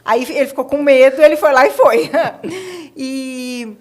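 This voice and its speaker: A woman's voice: high voice, slow. A woman with a Brazilian accent, hosting a conversational interview and asking questions in an informal, engaged way. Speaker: podcast host; marriage therapist.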